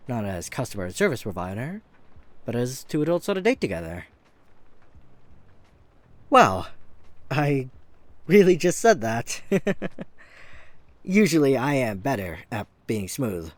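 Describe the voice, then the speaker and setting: A man's voice: mid-range voice, no room sound. Man acting a flirty catboy hairdresser, swooping intonation. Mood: nervous, flirty. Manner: gentle, bashful, earnest, chuckling at himself. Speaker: voice actor. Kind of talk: flirty ASMR-style roleplay audio drama. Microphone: close studio mic.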